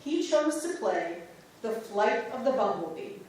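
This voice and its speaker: A woman reading a church history script aloud in reader's theater, in a plain, steady way. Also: medium pitch, a little slow, slight background noise. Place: large church sanctuary. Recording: echoey church from far off.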